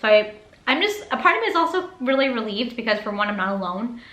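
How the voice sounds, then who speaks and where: A young woman vlogger telling a casual story in a chatty, animated way, often acting out quotes. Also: on the high side, quick pace. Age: young adult. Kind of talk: casual story time vlog. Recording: roomy echo.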